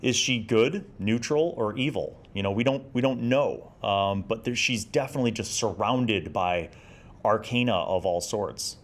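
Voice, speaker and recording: A man in his forties with an American accent and a fairly low voice. He talks casually and nostalgically as the host of a retro review vlog, reflective yet enthusiastic about what he shows. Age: 40s. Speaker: host of a retro gaming video series.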